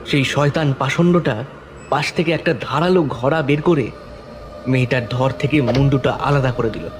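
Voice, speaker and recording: A man's voice: mid-range voice, normal speed. A man narrating a scripted first-person horror story in a dramatic style. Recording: loud music under voice.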